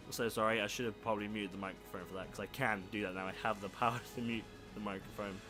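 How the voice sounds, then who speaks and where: A young man with a croaky, sore throat, casually commentating a video game, self-deprecating and swearing freely. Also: on the low side, a little fast. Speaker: gameplay commentator. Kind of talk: casual let's play commentary. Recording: game music playing behind.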